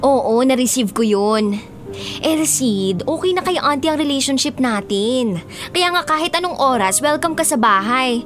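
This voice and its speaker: A woman's voice: high-pitched, expressive, moderate pace, fairly bright tone. A woman playing an earnest, hurt young girlfriend in a Filipino radio drama. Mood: hurt, pleading. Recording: music bed under the voice.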